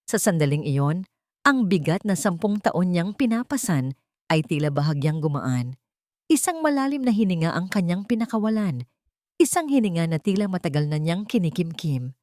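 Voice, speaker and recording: Low, expressive Filipino woman storyteller. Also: dry recording, clean studio sound. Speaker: narrator. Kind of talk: dramatic scripted story narration.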